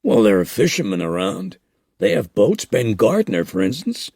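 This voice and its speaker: A man's voice: on the low side, sing-song, moderate pace, creaky, darkish tone, dry recording, clean studio sound, heavily acted. A man voicing an easygoing small-town newspaper editor with a matter-of-fact manner. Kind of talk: audiobook character reading.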